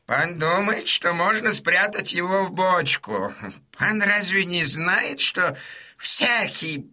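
Shrill, nervous, wily go-between. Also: male voice, animated, bright, forceful tone, exaggerated voice. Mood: agitated, protesting. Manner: wailing exclamations, drawn-out words, fussy hesitations. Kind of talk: full-cast audiobook dramatisation.

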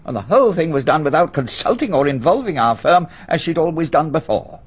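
An actor playing an elderly family solicitor who speaks in a measured, formal, slightly pompous way in a radio drama. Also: male voice, on the high side, sing-song, breathy edge. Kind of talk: BBC radio drama acting. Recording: dull archival radio recording.

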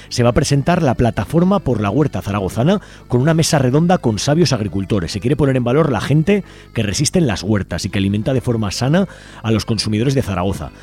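A man's voice: medium pitch, darkish tone. A man with an accent from Spain hosting community radio, giving informal announcements and addressing listeners directly in a conversational, inviting way. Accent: Spanish from Spain.

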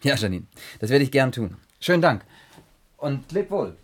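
A male actor playing a persistent, calmly authoritative biographer and interrogator in a radio play. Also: mid-range voice, normal speed, lightly acted. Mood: attentive, courteous. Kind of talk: German science-fiction radio play acting.

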